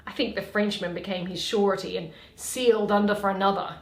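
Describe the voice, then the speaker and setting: A woman's voice: mid-range voice, fairly even intonation, brisk, natural voice. A woman playing a witty, mischievous young heiress in a theatrical Shakespearean reading, arch and teasing. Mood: mocking. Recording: roomy, echoey home recording.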